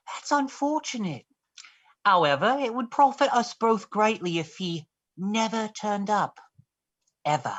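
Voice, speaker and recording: A woman playing a bitter, scheming disinherited male heir in a stage play reading, speaking formally and with insinuation. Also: mid-range voice. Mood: coldly blunt.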